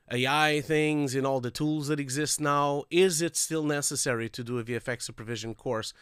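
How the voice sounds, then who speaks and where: A congested man with a nasal voice talks chattily to his viewers on a livestream. His tone is smooth and clear, and a close microphone picks up his breathing. The sound is dry and close, with no room around it. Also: mid-range voice, no echo. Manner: chatty and upbeat, addressing viewers directly. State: congested from a cold, audible breathing. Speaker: host of a livestreamed call-in show. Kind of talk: casual livestream chat. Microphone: close streaming microphone.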